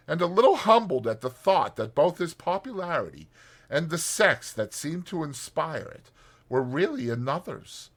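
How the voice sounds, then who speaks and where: A man narrating an audiobook as a dramatized story, in a theatrical, expressive manner. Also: on the high side.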